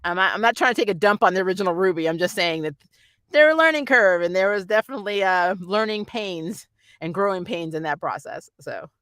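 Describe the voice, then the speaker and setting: Bright-voiced woman chatting casually, dry close sound. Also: mid-range voice, brisk, dry recording. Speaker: anime reviewer and vlog host. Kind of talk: casual, rambling review chat to viewers.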